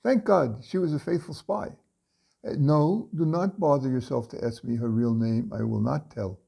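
An older man reading a story aloud from his home study into a webcam, unhurried, with frequent pauses and restarts. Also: medium pitch, gravelly, dark tone, slightly rough. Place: home study lined with bookshelves.

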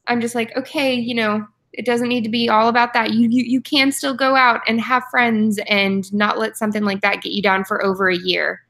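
A young woman talks books over a webcam from her room at home. Her voice is bright and clear, and she speaks in a chatty way, full of ums and self-corrections. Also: on the high side, little pitch movement, brisk, hardly any pauses. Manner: chatty, frequent ums and restarts. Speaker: book club co-host. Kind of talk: casual video call chat. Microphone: webcam on video call.

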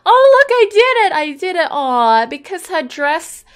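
A woman's voice: very high-pitched, sing-song, unhurried. A woman hosting a gaming channel, chatting bubbly and casually through her gameplay while sniffly with a cold, with many ums and little gasps.

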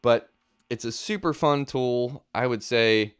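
A man's voice: mid-range voice, slow, clean tone, no echo. A man in his thirties giving a casual, informal guitar gear demo, thinking aloud as he explains, close on a studio mic. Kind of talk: casual instructional chat. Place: small home studio room. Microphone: close studio mic on boom arm.

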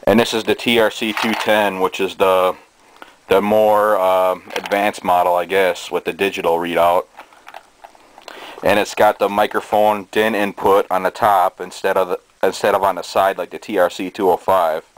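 A man giving a casual show-and-tell in a halting, hesitant way, recorded indoors on a handheld camera's microphone. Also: low voice, rough recording. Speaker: hobbyist presenter. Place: small cluttered bedroom indoors. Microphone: handheld camera's built-in microphone. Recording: steady noise, amateur camera sound.

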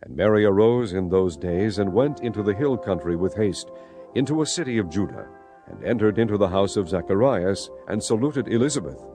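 A man's voice: lowish voice, animated, fairly quick. A man narrating scripture dramatically, in a solemn storytelling manner. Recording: music behind the voice.

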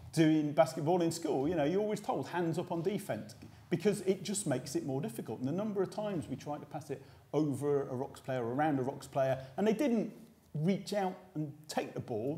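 Host: British man, 40s, mellow measured tone, calmly breaks down the game. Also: a little high. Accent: British English. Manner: measured, explanatory. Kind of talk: post-match analysis chat. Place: small indoor room before a sponsor backdrop.

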